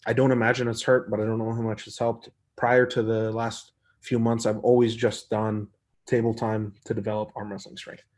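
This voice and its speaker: A man hosting a casual live stream, reading viewer comments and answering them in an easygoing, rambling way with a soft voice. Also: lowish voice, level tone, moderate pace. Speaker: arm wrestler hosting a live chat stream.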